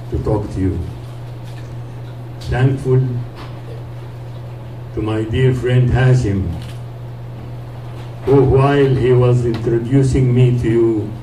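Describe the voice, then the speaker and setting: Hoarse older man speaking slowly. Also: mid-range voice. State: hoarse, losing his voice. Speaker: guest speaker. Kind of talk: informal speech to an audience. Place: small community hall. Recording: roomy hall, noisy, from far off.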